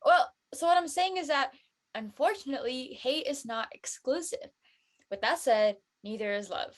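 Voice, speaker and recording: A woman's voice: sits high, lively intonation, normal speed. A woman playing an earnest, explanatory teenage girl who talks in casual slang in a drama read. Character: earnest churchgoing teenage girl, preachy friend.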